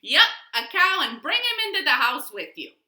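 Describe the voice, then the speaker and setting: Woman as a confident, emphatic village wise man, bright-voiced. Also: high-pitched, very animated, normal speed, pitched above the performer's own voice, full character voice. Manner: emphatic, repeats yes and yep. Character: eager, confident village wise man giving odd advice. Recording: echoey living room.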